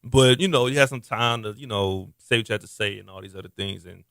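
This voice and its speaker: A young man from Texas hosting a podcast, talking loosely and eagerly, often getting loud. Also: lowish voice, quick pace, no room sound, studio quality. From Missouri City, Texas. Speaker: podcast host. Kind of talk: casual interview talk. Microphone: podcast studio mic.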